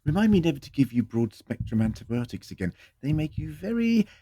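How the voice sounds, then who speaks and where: An actor in an audio drama playing an ancient, weary, eccentric time-travelling Doctor with a dry, hesitant, theatrical delivery. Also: male voice, a little high, sing-song, a little fast, warm tone, slightly rough, no room sound. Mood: wry, fussy.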